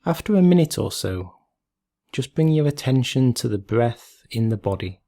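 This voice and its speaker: A man leading a guided meditation in a calm, gentle, measured way, leaving long pauses between phrases. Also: medium pitch, vocal fry.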